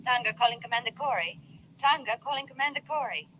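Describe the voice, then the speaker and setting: A woman playing a confident, alert undercover space security agent in crisp, businesslike 1950s radio style. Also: fairly high pitch, animated, quick pace, pushed and bright. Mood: urgent, businesslike. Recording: muffled, noisy vintage radio recording.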